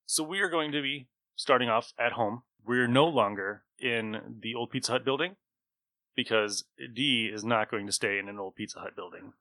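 A man's voice: medium pitch, normal speed, pushed and bright, no echo, poor audio. A man hosting as game master, narrating a tabletop adventure and chatting casually with the players.

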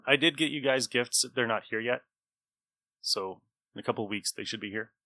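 A man narrates a tabletop role-playing game as its game master. His voice is bright and forceful at a middling pitch, recorded very dry, and he slips easily between narration and casual table talk. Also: a little fast, no room sound.

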